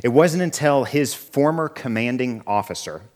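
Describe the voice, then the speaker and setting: A man in his thirties, a pastor with a froggy, hoarse voice from a cough, giving a conversational sermon and asking his listeners questions. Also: medium pitch, lively intonation, normal speed, a little mellow. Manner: conversational, asks the audience questions. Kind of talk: sermon opening with a story.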